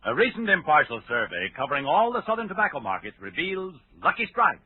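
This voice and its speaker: An American male announcer with a raspy, raised voice reads formally in 1940s radio ad style. His pitch moves a lot, and the recording is narrow and tinny. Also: big pitch swings, quick pace, no echo. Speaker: commercial announcer. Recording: thin phone-like old radio recording.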